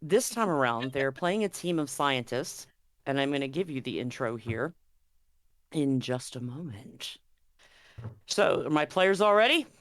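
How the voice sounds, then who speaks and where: A man's voice: medium pitch, lively intonation, unhurried, fairly bright tone, no echo, clean studio sound. A man acting as game master, hosting and narrating a tabletop roleplaying game stream.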